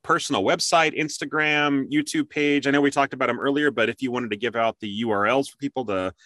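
A man's voice: mid-range voice, fairly even intonation, fairly quick, fairly bright tone, no echo. A man hosting an interview show in an upbeat, chatty, promotional way.

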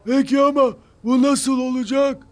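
A man's voice: extremely high pitch, level tone, moderate pace, a little hiss or hum, voice pushed up. A man voicing a big, proud male express engine who speaks boastfully and indignantly in a cartoon.

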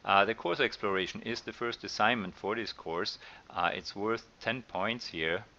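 A middle-aged man with an Austrian accent, talking through course slides as a science teacher in a relaxed way, with many ums and uhs. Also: lowish voice, normal speed, dull audio. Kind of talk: recorded slide lecture.

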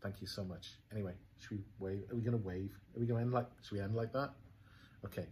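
A man's voice: lowish voice, steady tone, quick pace, darkish tone, slight background noise. A man in his forties with a Welsh accent, co-hosting a casual shop vlog with dry, teasing asides. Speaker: co-owner of a yarn shop, co-host. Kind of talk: casual chat. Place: yarn shop, indoors.

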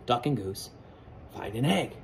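A man's voice: mid-range voice, animated, measured pace, a little hiss or hum. A man in his forties with an American accent, reading a picture book aloud expressively with explanatory asides, as a teacher in a classroom. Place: school classroom, indoors. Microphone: camera or phone a short distance away.

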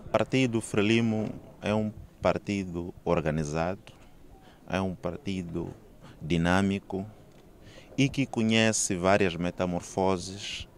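Deep, slow Mozambican man. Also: a little hiss or hum. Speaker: party member speaking to press. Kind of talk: press statement. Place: outdoors beside a hedge. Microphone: cluster of handheld press microphones.

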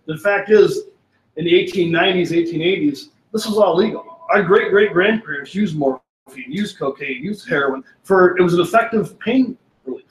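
A man's voice: high voice, moderate pace. Eager man making a point, echoey room. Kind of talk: panel discussion.

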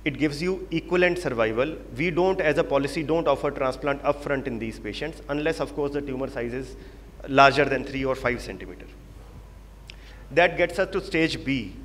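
A man's voice: medium pitch, normal speed, a bit bright. Indian man lecturing in an echoing hall. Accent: Indian English. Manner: explanatory, emphatic repetition. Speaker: panel moderator and presenting doctor. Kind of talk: medical conference presentation. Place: large conference hall. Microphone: handheld microphone. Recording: reverberant hall, faint background noise.

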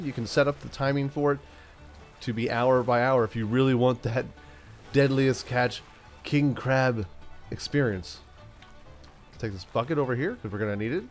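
A man hosting a gaming channel, chatting playfully through a let's-play, stuffed up from a cold and now and then yelling out excited bursts. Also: medium pitch. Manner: playful, talks to himself, yells bursts like "send it". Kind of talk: casual let's-play commentary.

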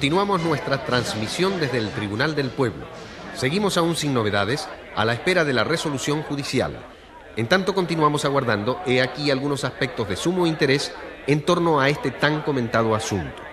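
Man acting polite formal news reporter in a radio play, medium pitch, crowd chatter behind. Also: lively intonation, close to an everyday voice. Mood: businesslike. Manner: formal, measured. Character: measured, courteous male radio reporter.

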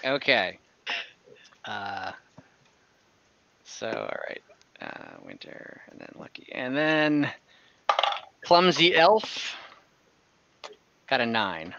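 A man running a tabletop game as dungeon master over a video call, relaxed and hesitant, thinking aloud with many fillers. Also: mid-range voice, extremely slow, vocal fry, pauses often, a little hiss or hum. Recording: dull lo-fi video call audio.

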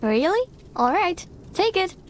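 A woman's voice: very high voice, sing-song, unhurried, a little hiss or hum, heavily acted. A woman voicing a curious little sister in a video game, with short, childlike replies. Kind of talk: scripted game character dialogue.

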